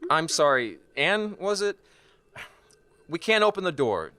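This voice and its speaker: An actor playing an anxious, protective young husband in an audio horror drama, placating and exasperated. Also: male voice, on the high side, big pitch swings, measured pace, bright, forceful tone, full character voice. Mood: politely placating. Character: anxious, protective young husband soothing his panicking wife.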